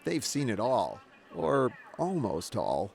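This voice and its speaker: Lively wry male documentary narrator. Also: medium pitch, takes it slowly, creaky. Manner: wry, conversational, lively delivery. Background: music under the narration.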